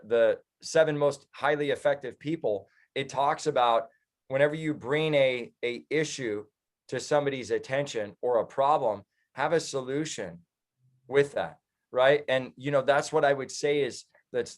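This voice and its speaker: A man's voice: medium pitch, normal speed. A man with an American accent giving conversational political commentary as an interview guest, in an earnest, speechifying manner. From United States. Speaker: political activist and professional speaker, interview guest.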